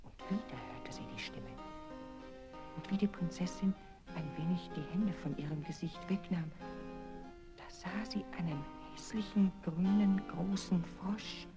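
Low-voiced woman telling a fairy tale. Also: measured pace, music in the background. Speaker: narrator. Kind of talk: expressive fairy-tale narration for children.

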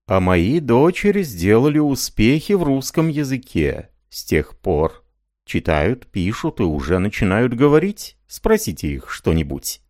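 A man's voice: mid-range voice, sing-song, measured pace, darkish tone, dry recording, studio quality, voice pushed up. A man reading an audiobook, voicing a proud middle-aged aristocratic princess with a gossipy, haughty manner.

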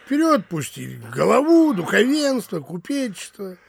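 Here is a pedantic provincial official from a radio stage comedy. He declaims slowly and grandly in a high, animated voice, sounding bookish and very sure of himself. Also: creaky, a little hiss or hum, heavily acted. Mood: pompous, insistent. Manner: slow, declamatory. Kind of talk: theatrical comic audio drama acting.

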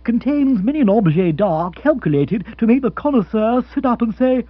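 Man doing a loud jolly toff, husky, lively swoops, raised pitch, dry dull recording. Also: normal speed, dry recording. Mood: jovial. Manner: booming, chuckling asides. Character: hearty, loud, jokey upper-class man. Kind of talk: audiobook character voice.

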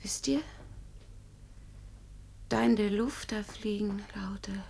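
An actress in a German radio drama playing a fragile, desperate young jilted woman, halting and often hushed to a whisper. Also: mid-range voice, creaky, husky, heavily acted. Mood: weak, fading.

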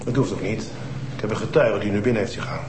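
An actor playing a stern police detective who questions suspects insistently. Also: male voice, fairly low pitch, fast talker, background noise, natural voice. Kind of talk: TV crime drama acting. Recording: roomy TV soundtrack with music.

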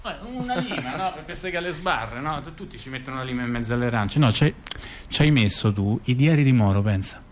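Male actor as ironic intellectual, mid-pitched voice, conversational theatre monologue, halting, repetitive, rhetorical questions. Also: lively intonation, slight background noise, close to an everyday voice. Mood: wry, conspiratorial. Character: chatty male intellectual telling mafia scandals with irony. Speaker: stage actor-narrator.

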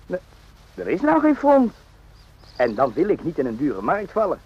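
An actor playing a naive, randy young soldier in a radio drama, rambling and jokey in an eager, confiding way. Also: male voice, a little high, wildly swooping pitch, normal speed, husky, exaggerated voice. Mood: jovial. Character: chatty, naive, randy young army soldier, former circus hand. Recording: muffled lo-fi old radio recording.

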